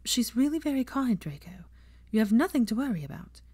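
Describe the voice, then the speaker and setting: A woman's voice: a little high, big pitch swings, fairly quick, mellow, husky, no room sound, studio quality, pitched above the performer's own voice. A woman voicing a self-assured young witch who is cheerful, earnest and reassuring, in a story reading.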